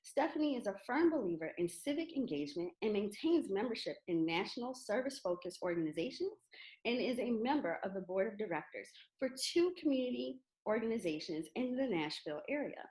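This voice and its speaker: A woman with a very animated, swooping delivery, speaking as the host of a reading event. Her pitch sits in the middle of the usual range but moves around a lot as she presents and interviews. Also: rough recording. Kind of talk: scripted introduction and interview.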